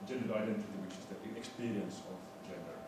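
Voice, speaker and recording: A man giving an academic lecture in a halting, hesitant way, often repeating the starts of his words. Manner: hesitant, halting delivery with stammered word repetitions. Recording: very echoey room, distant mic, noisy rumble.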